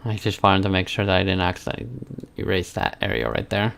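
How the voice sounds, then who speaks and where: Male streamer, creaky low-key delivery with fry, casual talk while working at art, partly to himself. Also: sing-song, fast, fairly bright tone. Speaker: streamer, game developer. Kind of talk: casual live stream chat while drawing.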